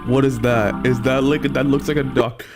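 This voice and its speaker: A man's voice: mid-range voice, steady tone, moderate pace, music in the background. A man giving casual, amused live reaction commentary, informal and thinking aloud. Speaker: reactor, streamer.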